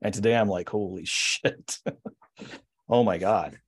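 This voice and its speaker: A man's voice: lowish voice, a little slow, breathy edge. A man hosting a podcast in casual chat, easygoing and agreeable, often chiming in with short yeahs.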